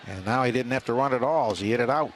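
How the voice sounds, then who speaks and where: A man doing live radio baseball play-by-play, talking conversationally with hesitant pauses between calls. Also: mid-range voice. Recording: radio broadcast with clear stadium noise. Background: outdoor ballpark ambience.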